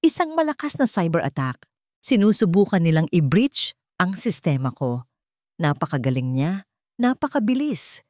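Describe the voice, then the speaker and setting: A woman's voice: on the low side, sing-song, normal speed, a little mellow, no room sound, studio quality. A woman voicing a guarded genius male hacker in an audio story, speaking in a terse, grave way. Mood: urgent.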